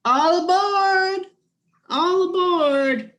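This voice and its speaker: A woman playing a tiny female train conductor who projects drawn-out announcements in a storybook reading. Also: extremely high pitch, fairly bright tone, voice pushed up, full character voice. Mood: loud, announcing.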